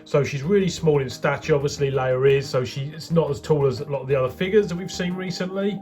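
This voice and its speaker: A man's voice: on the high side, a little fast, darkish tone, soft background music. A man with a head cold, chatting his way through a toy unboxing review and talking straight to his viewers. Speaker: toy collector vlogger.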